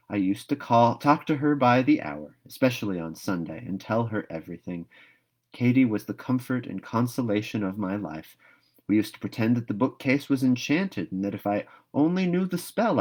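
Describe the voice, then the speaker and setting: A man's voice: lowish voice, darkish tone. A man voicing a dreamy, chattering young orphan girl in a storybook read-aloud, gushing and wistful.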